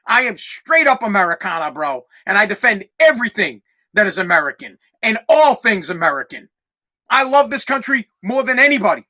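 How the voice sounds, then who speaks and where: Loud, brash Boston man ranting. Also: high voice, vocal fry. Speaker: podcast host. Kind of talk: solo podcast rant.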